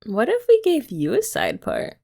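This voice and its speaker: A young woman in an ASMR girlfriend roleplay, talking softly and teasingly to the listener. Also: high-pitched, huge pitch swings, moderate pace, creaky. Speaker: ASMR creator.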